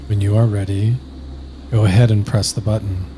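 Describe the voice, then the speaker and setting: Male sleep-meditation narrator. Flat, unhurried, soothing read with long pauses, over clearly audible ambient noise. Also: fairly low pitch. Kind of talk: scripted guided sleep meditation.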